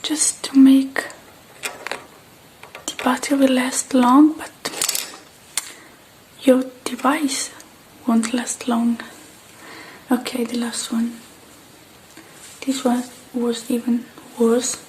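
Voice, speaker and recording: A woman doing an ASMR repair roleplay, speaking in soft, breathy whispers and hushed, halting murmurs close to the mic, addressing the listener. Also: high-pitched, little pitch movement, slight background noise, poor audio. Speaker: ASMR artist.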